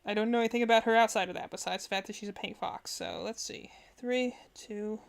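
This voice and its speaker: A woman's voice: mid-range voice, steady tone, brisk, gravelly, clean tone. A woman giving casual reaction commentary as a streamer, rambling and often searching for her words. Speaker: streamer reacting to game character trailers.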